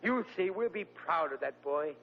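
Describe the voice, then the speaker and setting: A man's voice: on the high side, lively intonation, moderate pace, slight background noise. An actor playing a boastful, proud working-class father in a 1940s radio sitcom. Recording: dull lo-fi old radio recording.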